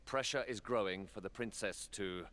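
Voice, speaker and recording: Man playing harried, low-voiced statesman. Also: normal speed, a bit bright, close to an everyday voice. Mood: exasperated, grave. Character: earnest, harried male council statesman under political pressure. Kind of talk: fantasy game voice acting.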